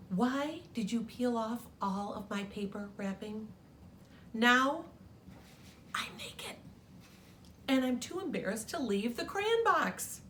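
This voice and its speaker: A woman's voice: fairly bright tone, distant mic, slight background noise, exaggerated voice. A woman voicing an embarrassed, panicky peach crayon from a storybook, flustered and pleading. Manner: flustered, high-pitched pleading.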